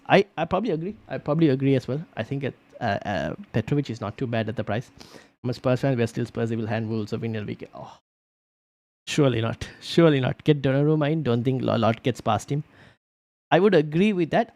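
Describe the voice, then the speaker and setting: A man with a gravelly, creaky voice hosts a casual live stream. He answers viewer questions loosely and thinks out loud, stopping to restart his sentences. The sound is close and dry, with no room echo. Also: medium pitch. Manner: thinks aloud, reads viewer comments, many fillers and restarts. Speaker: fantasy football streamer.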